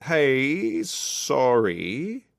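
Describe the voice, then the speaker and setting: A man's voice: medium pitch, big pitch swings, drawn-out words, gravelly, no room sound, studio quality, voice pushed up, full character voice. A man voicing a tentative, apologetic woman with a wry streak in an audiobook. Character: Emma, apologetic, wryly joking woman friend. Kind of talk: audiobook character dialogue.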